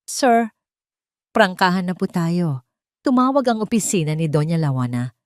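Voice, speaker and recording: A woman's voice: on the low side, lively intonation, normal speed, dry recording, studio quality, close to an everyday voice. A woman voicing a female office receptionist who is polite but blunt. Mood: curt.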